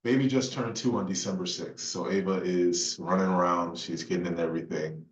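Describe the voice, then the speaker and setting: A man's voice: sits low, steady tone, fairly quick, roomy sound, far from the mic, poor audio. A man in his thirties, a former pro football player, answering casually with short replies. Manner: laid-back, brief answers. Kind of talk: casual chat.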